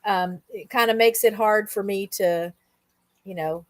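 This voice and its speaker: A woman hosting a casual interview, speaking conversationally and reflectively, pausing in mid-thought. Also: medium pitch, no echo. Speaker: host, interviewer.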